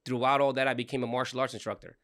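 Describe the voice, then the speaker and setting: A man's voice: medium pitch, steady tone, very fast, fairly bright tone. A man in his forties, from Puerto Rico and raised in New Jersey, a podcast guest telling his story casually, often repeating words and restarting. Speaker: guest, credit repair business owner.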